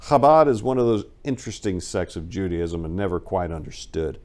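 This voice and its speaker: A man's voice: low voice, animated, a little fast, a little mellow. A man giving a scripted dramatic reading of a first-person memoir, as an audiobook narrator. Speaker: audiobook reader.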